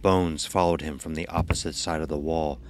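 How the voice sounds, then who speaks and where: A man reading scripted horror narration in a measured, ominous storytelling manner. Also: very deep voice, steady tone, over loud music.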